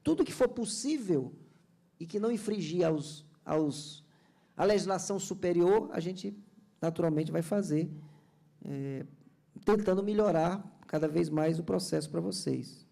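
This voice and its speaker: A man's voice: high voice, a little slow, gravelly, warm tone. A man from Ceará in Brazil, a government culture official giving an earnest, explanatory seminar panel speech with many restarts. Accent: Brazilian Portuguese, Ceará.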